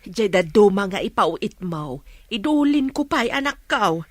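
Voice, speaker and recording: A woman's voice: mid-range voice, sing-song, unhurried, no echo. A woman playing a doting older village mother in a radio drama, with a motherly way of talking. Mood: affectionate.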